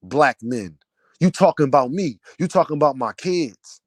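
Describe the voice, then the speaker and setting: Loud, heated man ranting with a deep voice. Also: lively intonation, normal speed, no room sound. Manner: loud, heated, preaching cadence. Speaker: vlogger, channel owner. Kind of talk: passionate rant to camera.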